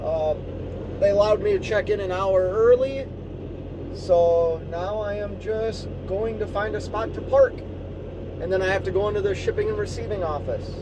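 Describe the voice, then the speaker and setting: A man, a truck driver vlogging casually in a relaxed, rambling way while feeling tired and unwell. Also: high-pitched, normal speed. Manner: relaxed and rambling, many ums. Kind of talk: casual vlog narration. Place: truck cab and outdoor truck lots. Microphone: phone or dash camera. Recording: noisy outdoor truck yard audio. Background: engine and traffic noise.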